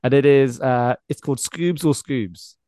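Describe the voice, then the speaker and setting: A man's voice: medium pitch, unhurried, warm tone, dry recording. A man hosting a casual, jokey sports chat and quiz, often laughing through his sentences. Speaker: podcast host and quizmaster.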